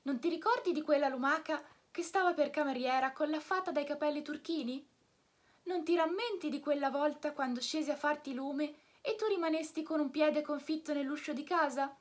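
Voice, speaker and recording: A woman voicing a slow, phlegmatic female snail who once served a fairy, with a breathy voice and a calm, unhurried manner. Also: high voice, voice pushed up, lightly acted. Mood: questioning. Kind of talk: storybook reading.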